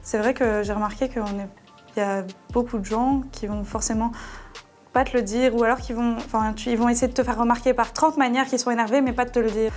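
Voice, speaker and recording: A woman's voice: a little high, steady tone, a little fast, a little mellow, faint music behind. A woman in her early twenties talking frankly and informally in slangy in a casual group discussion. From Belgium, small town. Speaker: student panelist in a discussion.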